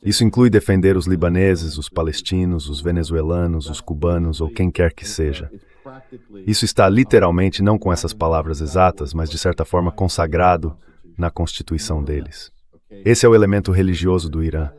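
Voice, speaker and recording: A man with a Brazilian accent speaks in a low-pitched voice. He delivers analytical commentary as an interview guest, in the form of a translated voice-over. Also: brisk, a little mellow.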